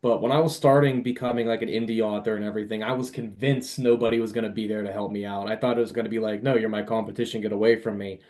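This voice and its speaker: A man's voice: fairly low pitch, steady tone, fast, few pauses, a little mellow. A man talking as a podcast guest in casual, slangy, enthusiastic conversation. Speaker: podcast guest, indie author.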